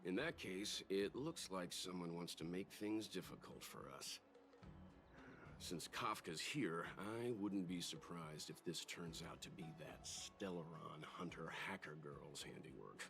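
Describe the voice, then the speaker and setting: Casting: mature male game mentor, low voice, composed, analytical, measured. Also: gravelly, fairly bright tone, faint music behind, full character voice. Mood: pensive. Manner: measured, reasoned, formal.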